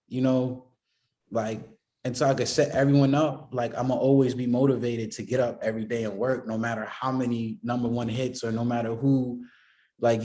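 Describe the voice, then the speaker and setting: Man, music producer, from Boston area, interview guest, casual rambling talk, many fillers, middle pitch. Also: warm tone. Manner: laid-back, rambling, many fillers like "you know what I mean".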